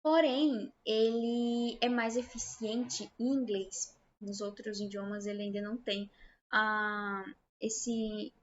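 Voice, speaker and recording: A woman with a Brazilian accent giving an informal software tutorial as an instructor, explaining hesitantly and often checking that learners follow. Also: medium pitch, fairly even intonation, slow, muffled recording, slight background noise. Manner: hesitant, explanatory, checks understanding with short tags.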